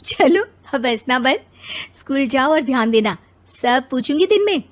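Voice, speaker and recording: A woman voicing an irritated, bossy young mother and wife who scolds and retorts sharply in an animated family story. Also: sits high, moderate pace, voice pushed up. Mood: brisk, instructing.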